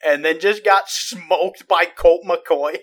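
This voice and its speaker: Young guy on a football podcast telling a funny story, kinda high voice, teasing. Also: slow pace, fairly bright tone. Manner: teasing storyteller, laughs into his lines. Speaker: podcast co-host. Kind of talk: casual sports podcast chat.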